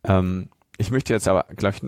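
A man's voice: low-pitched, steady tone, normal speed, a little mellow, no room sound, clean studio sound. A man co-hosting a podcast in casual, bantering conversation. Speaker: podcast co-host. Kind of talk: casual chat.

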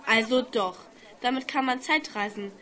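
A woman's voice: a little high, moderate pace, pushed and bright. A teenage girl acting a curious, matter-of-fact schoolgirl in an amateur radio play. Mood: matter-of-fact, puzzled.

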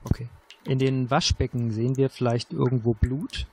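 A man's voice: medium pitch, steady tone, moderate pace, warm tone, a little hiss or hum. A man playing as a contestant in a detective game, casually asking questions and suggesting the next move.

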